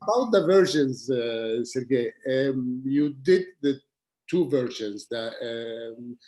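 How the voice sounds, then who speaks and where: Male interviewer, mid pitch, halting questions. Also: expressive, warm tone. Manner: halting, pauses mid-sentence.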